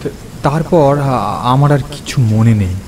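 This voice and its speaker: A man acts out a weary, troubled ghost of a friend in a horror cartoon. He talks haltingly and ominously at a middling male pitch, with faint rain and thunder behind him. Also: a little mellow, a little hiss or hum, close to an everyday voice. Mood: grave, haunted. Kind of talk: cartoon horror story acting.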